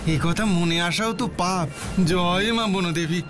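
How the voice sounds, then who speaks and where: Earnest, higher-pitched cartoon villager. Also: male voice, takes it slowly. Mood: devout, insistent. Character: doctor and pious rescued villager, earnest grown men. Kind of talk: children's cartoon dubbing. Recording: music behind the voice.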